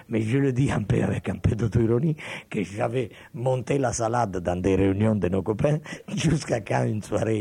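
Older man with a gravelly, dark voice. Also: mid-range voice, dry recording. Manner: digressive, meandering storyteller. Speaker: guest. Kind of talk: reminiscing in conversation.

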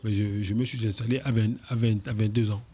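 A man's voice: on the low side, fairly even intonation, a little fast, dark tone, a little hiss or hum. A man in his fifties with a Cameroonian French accent, a musician guest talking casually, often restarting and repeating words. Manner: hesitant, many restarts and repeats. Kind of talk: casual interview.

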